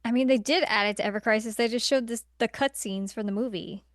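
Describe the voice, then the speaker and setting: Female podcast co-host, smooth clear voice, dry close sound, blunt and exasperated casual opinions. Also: on the high side, quick pace, no room sound. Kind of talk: casual opinionated film discussion.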